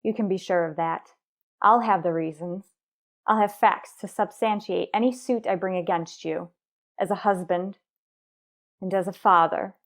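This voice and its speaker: An actress playing a resolute, aggrieved wife and mother in a radio soap, earnest, deliberate and restrained. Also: medium pitch, natural voice. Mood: firm, defiant. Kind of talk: revived daytime radio soap acting.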